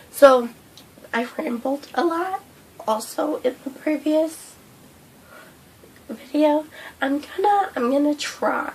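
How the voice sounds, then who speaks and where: A young woman vlogging a breakfast mukbang, hoarse and losing her voice from a cold, rambling with lots of fillers. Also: high-pitched, a little hiss or hum, rough recording. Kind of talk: casual mukbang vlog chat. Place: indoors at home under a hot light.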